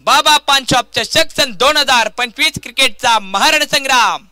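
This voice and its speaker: A Maharashtrian man calls cricket live in a high, piercingly bright and forceful voice. The sound is clean and crisp. His delivery is grandiose and dramatic, leaning on repeated stock phrases. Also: moderate pace, no room sound. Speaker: cricket commentator. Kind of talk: live play-by-play sports commentary.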